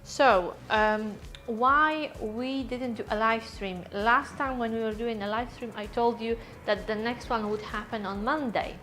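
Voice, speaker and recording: A woman's voice: fairly high pitch, fairly even intonation, measured pace, hardly any pauses, a bit bright, slight background noise. Chatty woman greeting viewers. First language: Polish. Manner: chatty, greets viewers by name, laughs often. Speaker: vlogger hosting a live stream. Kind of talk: casual live-stream chat greeting viewers. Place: holiday rental apartment.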